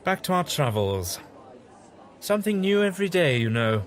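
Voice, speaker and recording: A man's voice: sits high, big pitch swings, moderate pace. A man playing an eccentric, fussy, kindly time-travelling wanderer in an audio drama, with a hesitant, fluttery manner. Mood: genial, evasive.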